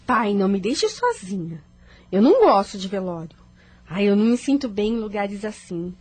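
A young woman playing an anxious, troubled daughter in a radio drama, with plaintive, expressive delivery. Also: medium pitch, moderate pace, slightly rough. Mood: uneasy. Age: young adult.

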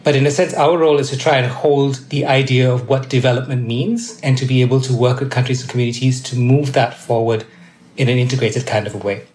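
A man in his fifties from Malaysia, a development adviser explaining ideas in a measured, thoughtful way as a podcast guest. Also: mid-range voice, steady tone, a little mellow. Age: 50s. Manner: measured, thoughtful, occasional restarts. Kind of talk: explanatory interview answers. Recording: echoey room.

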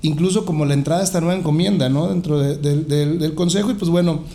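A man's voice: a little high, quick pace, mellow. A man with a Mexican accent hosting a podcast in a casual, jovial, joking way. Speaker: podcast host. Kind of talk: casual interview chat.

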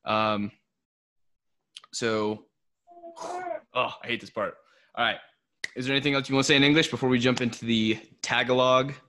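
A man's voice: mid-range voice, steady tone, normal speed, pauses often, bright, forceful tone, slight background noise. A young American man hosting a casual podcast. He talks in a laid-back, chatty way, laughs often and uses lots of fillers. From United States. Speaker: podcast host. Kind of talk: casual podcast chat mixing English and Tagalog.

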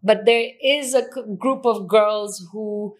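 A woman's voice: medium pitch, fairly even intonation, slow pace. A woman from Kenya with a strong Kenyan accent, a guest talking openly and laughing at herself. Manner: open, self-deprecating, laughs often. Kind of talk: candid conversational storytelling.

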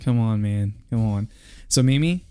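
A man's voice: fairly low pitch, unhurried, dark tone, smooth. A man hosting a studio interview, upbeat and eager, chatting casually with many fillers. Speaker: podcast host.

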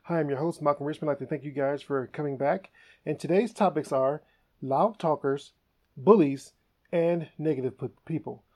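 A man hosting a comedy podcast, telling stories in a casual, animated way and laughing often. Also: on the high side, moderate pace. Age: adult. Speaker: podcast host.

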